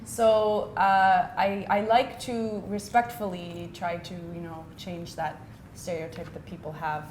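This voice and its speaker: A woman's voice: little pitch movement, a little slow, darkish tone. American woman in an echoey, noisy room. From United States. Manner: conversational, with frequent "you know" and "like". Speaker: guest storyteller and host of the evening at home. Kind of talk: informal personal storytelling to a small group. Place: open-plan room at home. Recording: echoey room with clear rumbling noise.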